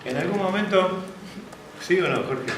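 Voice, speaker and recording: A man's voice: sits high, expressive, unhurried, a bit bright. A man with an Argentine accent gives an open class, telling anecdotes and asking the audience whether they follow him. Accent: Argentine Spanish. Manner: anecdotal, asks the audience for confirmation. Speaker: guest lecturer. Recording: very echoey classroom, faint background noise.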